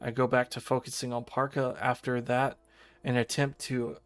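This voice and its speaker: A man's voice: medium pitch, little pitch movement, moderate pace, fairly bright tone. A man reading visual novel narration aloud as a streamer. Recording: music behind the voice.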